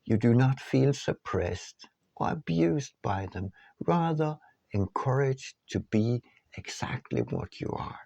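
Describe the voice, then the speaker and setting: A man reading his own book aloud as scripted audiobook narration, in measured, deliberate phrases. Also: medium pitch, lively intonation, vocal fry, warm tone, no echo.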